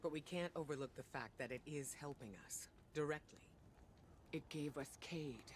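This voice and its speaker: Male actor, concerned counsellor, pleading, reasoned, slightly high pitch, game dialogue, slight noise. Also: normal speed, natural voice. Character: concerned, reasoning adviser countering a commander. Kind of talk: dramatic video game dialogue.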